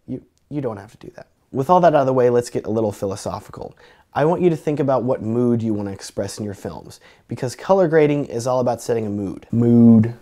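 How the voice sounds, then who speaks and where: Fast-talking young man, a bit hoarse. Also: mid-range voice, mellow. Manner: joking, chatty, self-deprecating. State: slightly raspy from a cold. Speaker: filmmaker teaching color grading. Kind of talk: casual tutorial talk.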